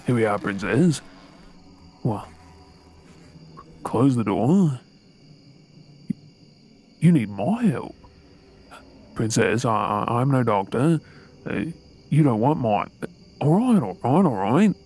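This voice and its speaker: A man's voice: medium pitch, sing-song, slow, pauses often, darkish tone, breathy edge, exaggerated voice. A man with an Australian accent playing a resentful undercover soldier posing as a royal servant in an audio role-play, brooding in asides and formally deferential in address. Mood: startled, concerned. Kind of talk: scripted ASMR audio role-play acting.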